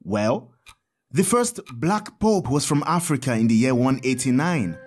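Patient priest, lively swooping pitch. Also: male voice, soft background music. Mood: storytelling. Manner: patient, explanatory, measured. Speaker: actor in an audio drama. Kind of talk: audio drama storytelling.

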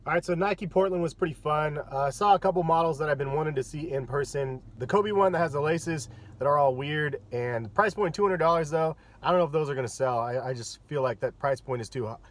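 A man in his thirties giving a relaxed, chatty sneaker review in a vlog, with plenty of ums and uhs. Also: on the high side, brisk, few pauses. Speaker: sneaker vlogger. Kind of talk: casual vlog commentary. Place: parked car, and voice-over on store footage.

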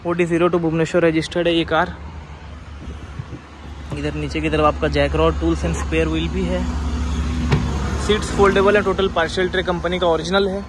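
A man, a used car dealer filming a walkaround of a car for sale and briskly listing its features and price. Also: fairly high pitch, flat delivery. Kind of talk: car walkaround and sales pitch. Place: outdoor car lot, then inside a car on the road. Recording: outdoor noise and traffic.

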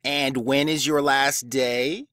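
A man's voice: mid-range voice, steady tone, slow, a bit bright, dry recording, studio quality. An actor playing a gleefully spiteful, smug male school official in an audio drama, with theatrical delivery.

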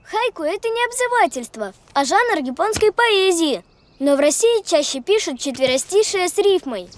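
A woman's voice: extremely high pitch, very animated, normal speed, no echo. A woman voicing a small, clever childlike creature in a children's cartoon, explaining things precisely. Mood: instructive.

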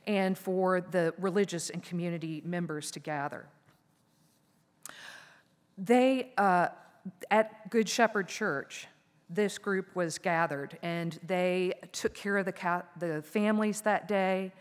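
A woman in her fifties giving a formal prepared keynote speech from a lectern on a conference stage, in a measured, earnest delivery. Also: on the low side. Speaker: keynote speaker. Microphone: lectern microphones. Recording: reverberant conference hall.

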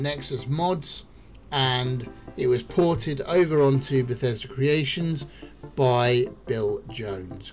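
A laid-back man narrating a game tutorial at an unhurried pace. He keeps it casual and excited, with plenty of "um"s and "uh"s, and his voice sits at an ordinary middle pitch. Soft music plays quietly behind him. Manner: relaxed, enthusiastic, many fillers. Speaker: gaming YouTuber. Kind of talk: game build tutorial voice-over.

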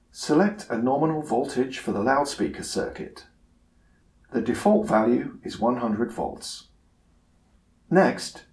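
A man giving a scripted software tutorial narration in a measured, instructional manner. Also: medium pitch, reverberant.

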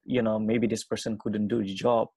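A young man from Indonesia, an English teacher, answering interview questions quickly with many fillers and restarts. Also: fairly low pitch, little pitch movement, darkish tone, a little hiss or hum. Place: small room at home.

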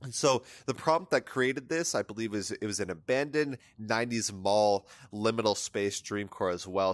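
Low-voiced man talking through art, dry sound. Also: no echo. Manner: rambling, many fillers like "kind of" and "you know". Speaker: art analysis host. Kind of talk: casual spoken art commentary. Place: voice-over on a screen recording of an image viewer.

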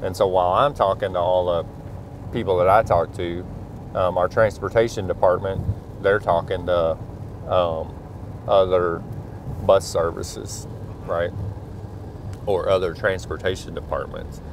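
A man's voice: low voice, fairly even intonation, a little slow, long pauses. A middle-aged man, a school superintendent, chatting casually while driving his truck at night, thinking aloud with many fillers. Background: faint car engine and road noise.

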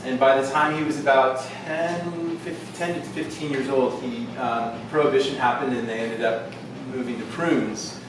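A middle-aged man from Southern California, a winemaker telling his family story to guests at a tasting with relaxed fillers. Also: medium pitch, moderate pace, hardly any pauses. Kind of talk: casual tasting talk to guests. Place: winery tasting room. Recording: echoey room from far off, background noise.

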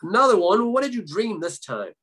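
A man voicing a loud, irritable devil in a live-told folktale, exclaiming in exasperation. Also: high-pitched, very animated, a little fast, slightly rough, pitched above the performer's own voice, full character voice. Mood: irritated, exasperated. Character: devil, gruff irritable grandson, screaming and grumbling.

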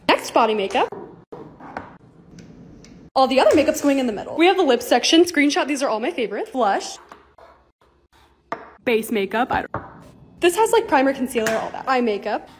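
Bubbly, lively young woman vlogger. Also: high voice, normal speed, long pauses, slight background noise. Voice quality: raspy. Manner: bubbly, excitable, gushing. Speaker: lifestyle vlogger. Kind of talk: casual vlog voice-over.

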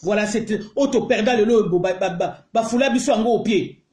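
A woman's voice: mid-range voice, a little fast, mellow. A middle-aged Congolese woman with a Congolese accent, ranting indignantly into her phone in a heated video. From Congo (DRC). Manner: indignant, emphatic, mixes French and Lingala. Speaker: online commentator. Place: small room indoors. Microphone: phone held close to the face. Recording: echoey room.

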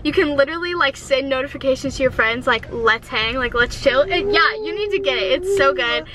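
A woman's voice: high-pitched, expressive, fairly quick, bright, forceful tone, slight background noise, low-quality recording. A young woman vlogging in a casual, rambling way, giggly and bubbly, filmed by a camera on the dashboard of her car. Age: young adult. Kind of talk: casual chat. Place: inside a parked car, then a big store. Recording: music playing in the car.

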